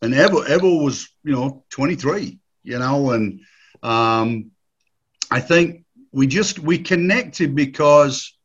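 Husky middle-aged man, rambling and nostalgic. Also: on the high side, muffled recording. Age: 50s. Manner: rambling, nostalgic, laughs often, many restarts. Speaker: guest, former footballer. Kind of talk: casual reminiscing chat.

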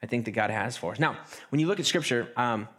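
A man's voice: medium pitch, lively intonation, fast, fairly bright tone. Conversational male pastor preaching. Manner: conversational, with restarts and acted asides. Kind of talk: sermon.

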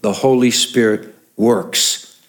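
A man's voice: fairly low pitch, fairly even intonation, slow pace. A male pastor preaching a sermon in church, stressing and repeating his main points for the congregation.

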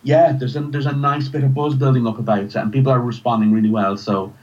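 A man's voice: medium pitch, level tone, fast talker, a little mellow. A man chatting as a podcast guest, hesitant and thoughtful as he answers. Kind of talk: casual interview chat. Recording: distant, dull lo-fi sound.

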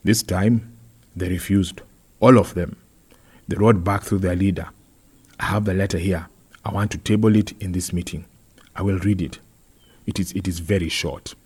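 An earnest councillor acted by a male reader lays out a grievance in a storybook. The voice is low and husky, with a plain, slightly aggrieved manner. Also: fairly even intonation, moderate pace, pauses often, darkish tone.

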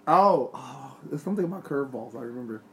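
Low-voiced, animated young woman over clear noise. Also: fast talker. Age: young adult. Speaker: podcast co-host. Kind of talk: casual joking banter. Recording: clearly noisy background.

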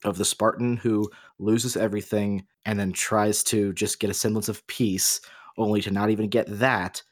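Low-voiced man discussing opinions on a podcast. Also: steady tone, normal speed, dry recording, studio quality. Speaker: podcast co-host.

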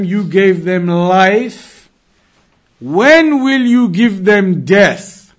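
A man giving a religious class lecture as a teacher, speaking emphatically with repetition and rhetorical questions. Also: high voice. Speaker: Islamic studies teacher.

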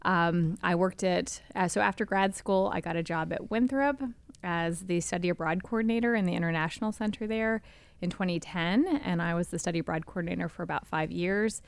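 A woman's voice: fairly low pitch, a little fast, gravelly, clean tone, no echo. A woman in her thirties from North Carolina, chatting as a podcast guest with many ums and restarts. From Charlotte, North Carolina. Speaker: guest, executive director of a history group. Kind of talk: casual podcast chat.

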